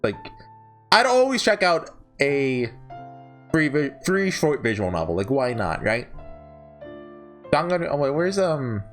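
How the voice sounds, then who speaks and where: A man's voice: on the high side, big pitch swings, a little slow, pauses often, a bit bright, soft background music. A man giving casual, unscripted ranking commentary as a reviewer, rambling through his opinions and often correcting himself mid-thought. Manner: rambling, opinionated, often self-correcting and seeking agreement with "right?". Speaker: visual novel reviewer.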